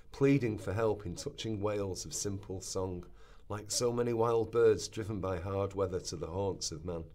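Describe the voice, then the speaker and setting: A man plays an earnest Victorian chronicler giving eyewitness testimony. His voice is fairly low, and he reads in a solemn, formal, measured radio drama style. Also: a little mellow, lightly acted. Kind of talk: dramatic reading of historical testimony.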